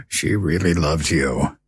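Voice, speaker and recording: Man playing a husky, rattled husband. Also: extremely low pitch, exaggerated voice. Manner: stammering, exasperated. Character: stressed, bewildered, jealous husband. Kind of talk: audio drama acting.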